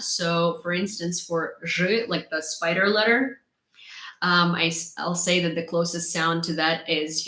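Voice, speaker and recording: A young woman from Ukraine with a Ukrainian accent, casually chatting on a livestream and reading viewer comments, laughing often and filling pauses with ums. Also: fairly low pitch, bright, forceful tone. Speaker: livestream host. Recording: roomy echo.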